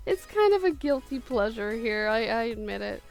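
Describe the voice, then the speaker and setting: A woman's voice: a little high, vocal fry, a little mellow. A woman casually chatting through her favorite picks, gushing and giggly, often restarting her sentences. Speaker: video creator. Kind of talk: casual countdown chat.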